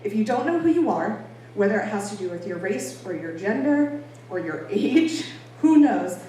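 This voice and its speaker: A woman's voice: mid-range voice, very animated, normal speed, dark tone. Echoey American woman giving a talk. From Boston, United States. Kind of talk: informal public talk to an audience. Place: echoey indoor venue with an audience. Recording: echoey room, distant mic, faint noise.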